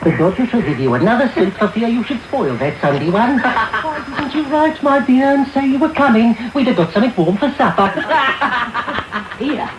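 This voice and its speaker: A man's voice: extremely high pitch, expressive, normal speed. A man playing a sly, miserly old gang boss in a radio drama, wheedling and mock-polite. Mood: mock delight. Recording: noisy off-air medium wave radio recording.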